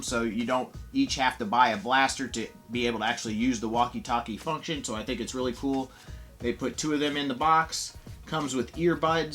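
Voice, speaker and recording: A man's voice: medium pitch, a bit bright. A man giving a casual, enthusiastic, conversational product review as a toy reviewer.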